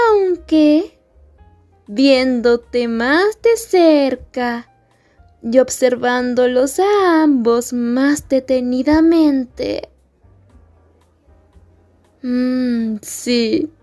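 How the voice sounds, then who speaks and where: Woman voicing a mean high school bully girl, high voice, super slow scornful drawl, asmr roleplay. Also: expressive, a little mellow, soft background music, heavily acted. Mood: mocking. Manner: taunting, scornful, drawn-out delivery. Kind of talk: Spanish ASMR roleplay acting.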